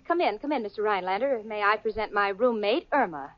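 A woman's voice: medium pitch, expressive, fast, no room sound, close to an everyday voice. An actress playing a sensible young woman who gets flustered and stammers, in a 1940s radio sitcom. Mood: polite. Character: level-headed young woman roommate, easily flustered. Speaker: lead character and narrator. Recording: dull old radio broadcast with audience.

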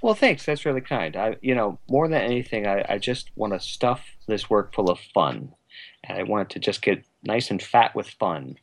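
A male writer being interviewed in a relaxed chat. His fairly low voice moves around a lot, and he comes across as effusive and readily amused. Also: moderate pace. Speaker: guest, radio drama writer.

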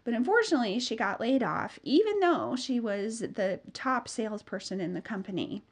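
A woman's voice: fairly high pitch, very animated, normal speed, husky. Chatty woman podcast host with a cold. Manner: chatty, upbeat, many fillers. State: has a cold, a bit nasal. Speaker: podcast host and business mentor. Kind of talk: solo podcast talk.

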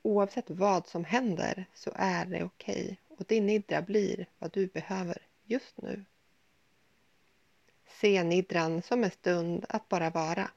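Woman doing gentle guided relaxation, slow pauses, close dry sound, slightly muffled recording. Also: on the low side, fairly even intonation, vocal fry, no room sound. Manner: soothing, gentle, unhurried instructions with pauses. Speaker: yoga nidra guide. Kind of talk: guided meditation, body scan.

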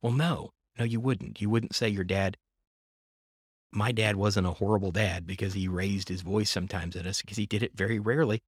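A man's voice: lowish voice, fairly even intonation, a little fast, husky, dry recording, studio quality. A middle-aged man hosting a faith-based podcast, talking earnestly and reflectively in long linked thoughts. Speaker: podcast host.

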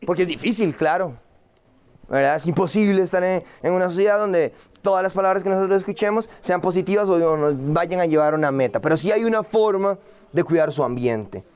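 A man's voice: high-pitched, fairly quick, a little mellow, clear, resonant tone. A young man from Costa Rica with a Costa Rican accent, giving a motivational seminar talk to a live audience, asking rhetorical questions and acting out quoted voices. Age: young adult. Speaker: guest speaker, entrepreneur.

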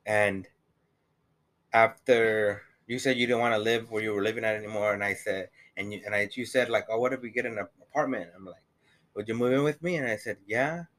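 A man's voice: on the low side, a little fast, a bit bright. A young man co-hosting a casual couple's podcast, rambling with many fillers and the odd swear, with a slight accent. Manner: rambling, lots of "like" and "you know", swears. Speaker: podcast co-host.

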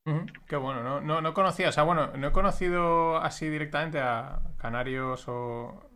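Male podcast host, higher-pitched and bright, chatty, laughs easily. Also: moderate pace. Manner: laughs often, acts out quoted remarks. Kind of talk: casual chat.

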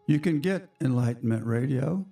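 A hoarse man hosting a spiritual radio show, talking in a slow, reflective, chatty way to his listeners. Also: mid-range voice, animated, mellow, soft background music. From United States.

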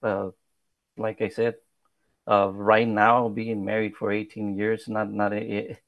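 A man's voice: fairly low pitch, completely flat delivery, takes it slowly, no room sound, narrow, tinny audio. A man in his thirties from South Texas, a native Spanish speaker with a Spanish accent, talking in a podcast interview in a modest, hesitant way with many fillers and restarts. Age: 30s. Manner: modest, soft-spoken. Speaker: political candidate.

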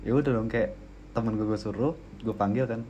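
A young man telling stories in casual, slangy podcast chat, with no regional accent and a relaxed manner. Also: on the low side, brisk, dull audio, a little hiss or hum. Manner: laid-back storytelling, frequent restarts and laughs. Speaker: podcast co-host.

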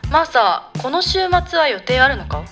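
Woman playing a young boyfriend, laid-back, brief masculine phrasing, lowered voice, radio drama, clear music behind. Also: very animated, normal speed. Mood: calm, affectionate. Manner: low-key, brief, masculine speech. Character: young man, laid-back long-distance boyfriend on the phone. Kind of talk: amateur radio drama acting.

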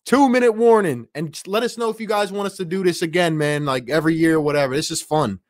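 A man hosting a sports livestream in an excited, casual way, reading out viewer messages with plenty of slangy exclamations. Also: fairly high pitch, fast talker, no echo, clean studio sound. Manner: slangy, exclamatory, addresses listeners as man and bro. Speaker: livestream host.

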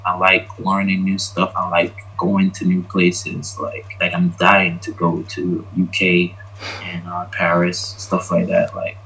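A Jamaican-born man, talking casually as an interview guest, relaxed and rambling with lots of ums. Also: low voice, unhurried, husky, poor audio. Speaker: interview guest, graphic designer.

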